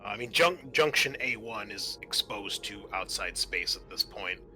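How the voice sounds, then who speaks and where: A man's voice: on the low side, expressive, normal speed, pushed and bright, soft background music. A man acting as game master, narrating a tabletop role-playing game in an informal way.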